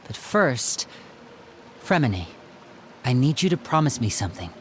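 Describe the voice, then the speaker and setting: A man playing a young, protective, composed older brother in a video game, talking in a measured, theatrical way. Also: mid-range voice, sing-song, a little hiss or hum. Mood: resolute. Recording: music playing behind the voice.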